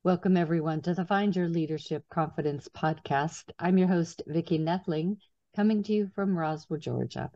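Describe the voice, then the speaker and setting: A woman's voice: on the low side, moderate pace, dark tone, dry recording, lo-fi sound. A middle-aged woman hosting an interview podcast over a video call from a home office, talking in an upbeat, chatty way. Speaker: podcast host. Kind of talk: casual interview chat. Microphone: video call webcam.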